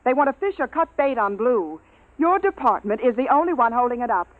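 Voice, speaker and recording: A woman playing a stern, dignified expedition commander in a 1950s science fiction radio drama, formal and brisk. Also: fairly high pitch, sing-song, no echo, phone-quality sound. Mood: stern, exasperated. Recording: thin, band-limited old radio recording.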